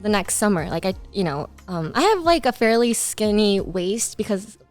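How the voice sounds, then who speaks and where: A woman from the United States chatting casually as a podcast guest, giggly and animated as she tells a story and acts out quotes. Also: medium pitch, moderate pace, soft background music. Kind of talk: casual anecdotal chat.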